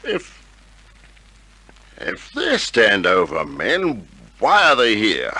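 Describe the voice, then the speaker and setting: Gruff suspicious cop inspector, old radio, very sharp husky voice. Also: male voice, on the low side, huge pitch swings, extremely slow, no room sound, heavily acted. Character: stern, suspicious male police inspector, gruff and needling. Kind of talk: old-time radio crime drama acting.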